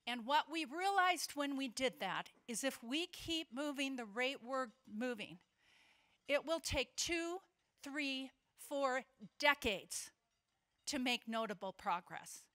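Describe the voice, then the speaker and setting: An older woman giving a conference keynote speech, earnest and emphatic, slowing down to stress key points. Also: high-pitched, lively intonation, fairly bright tone. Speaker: keynote speaker. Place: convention hall.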